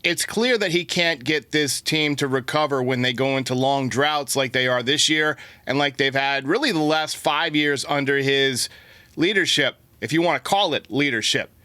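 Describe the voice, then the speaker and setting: A man's voice: medium pitch, moderate pace, sharp tone, no room sound. A man hosting a sports podcast, giving blunt, opinionated baseball commentary and answering listener voicemails.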